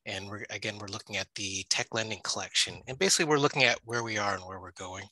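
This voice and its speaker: A man in his thirties talks through his slides over a headset on a video call, his voice very bright and forward. He sounds chatty and loose, with plenty of fillers and restarts. The sound is close and dry. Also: lowish voice, fast, no room sound. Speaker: library staff presenter.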